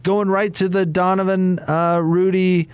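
A man's voice: high voice, flat delivery, measured pace, clear, resonant tone, dry recording. An American man in casual sports radio discussion as a commentator, hesitating and repeating words while he builds his point.

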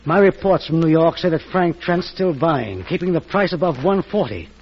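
An actor playing a dutiful, respectful government official with formal speech in an old-time radio drama. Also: male voice, fairly high pitch, animated, moderate pace, slightly rough. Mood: concerned, formal. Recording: dull, noisy old radio broadcast.

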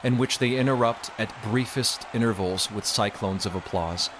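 A man's voice: lowish voice, normal speed, noisy background. A man reading a literary story as narrator, in a dramatic audiobook style. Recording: music behind the voice. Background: background music.

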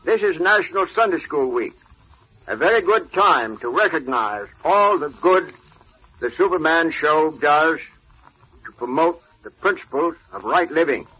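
A man's voice: a little high, lively intonation, measured pace, slightly rough. An older man giving a formal, stiffly read speech as a guest on an old radio broadcast. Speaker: guest speaker, retired naval officer. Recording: dull 1940s radio recording.

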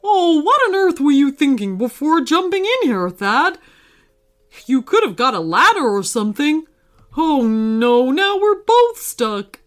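A woman's voice: sits high, big pitch swings, unhurried, soft background music, pitched above the performer's own voice. A woman voicing a whiny, teasing, dramatic best friend in a children's story, complaining and exclaiming. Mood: exasperated.

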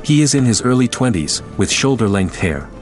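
A man narrating a scripted audio drama in a measured, descriptive, steady way. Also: mid-range voice, soft background music, lightly acted.

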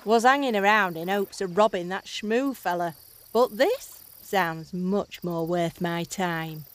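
A woman's voice: fairly low pitch, slow pace. A woman playing a sly, greedy thieving creature, lazily opportunistic, in a fantasy comedy audio drama. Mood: scheming.

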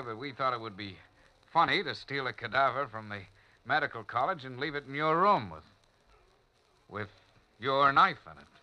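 A man's voice: medium pitch, pushed and bright, rough recording. A man playing a sheepish young friend explaining an old prank, in a 1940s radio drama. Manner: folksy, explanatory.

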